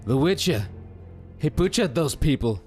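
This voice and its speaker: A man's voice: fairly high pitch, very animated, a little slow, a little mellow, voice pushed up. A man voicing an alarmed, accusing townsperson in a dramatic fantasy story, with music behind. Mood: shocked accusation. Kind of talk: dramatic storybook character acting.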